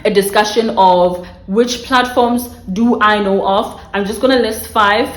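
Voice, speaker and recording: A woman with a South African accent talks casually to her viewers at a medium pitch, circling back and restarting phrases as she goes. She speaks straight to her audience from home. The room rings with noticeable echo. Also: steady tone, a little slow. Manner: chatty, restarts phrases, addresses viewers directly. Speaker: vlogger giving career tips. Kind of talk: casual explanatory talk to viewers.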